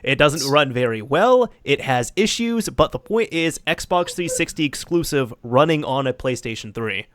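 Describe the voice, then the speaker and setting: A man's voice: fairly high pitch, lively intonation, moderate pace, hardly any pauses, fairly bright tone, no room sound. Man, podcast host, casual, stammering restarts, dry close sound. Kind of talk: casual tech chat.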